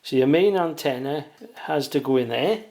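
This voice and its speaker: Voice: mid-range voice, moderate pace, a little mellow. A hobbyist presenter giving a casual beginner's how-to, talking plainly and without hurry through each step while working.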